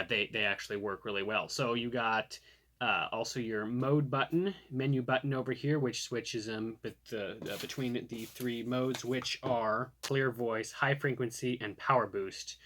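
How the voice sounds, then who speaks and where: Man, medium-pitched voice, casual product reviewer, chatty, thinks aloud while demonstrating. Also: sharp tone, slight background noise.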